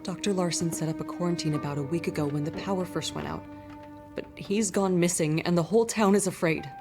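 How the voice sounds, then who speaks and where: An actress playing a worried townswoman in a video game drama, speaking in a grave, earnest, measured way. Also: on the low side, over music, natural voice. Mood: anxious, grave. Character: worried townswoman keeping vigil over a sick loved one.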